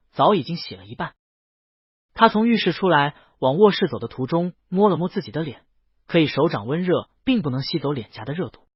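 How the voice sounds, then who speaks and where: A man's voice: on the high side, husky, no echo, muffled recording. A man narrating a scripted romance novel as an audiobook, reading close to the mic in a dry recording. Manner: reads character dialogue within the narration.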